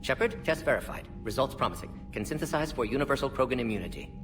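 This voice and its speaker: Animated, clipped alien scientist played by a man. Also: mid-range voice, fast, far from the mic, music in the background, natural voice. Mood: clinical. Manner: clipped telegraphic phrases, dropping articles. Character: fast-thinking male alien scientist, brisk and clinical. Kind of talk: video game cutscene acting.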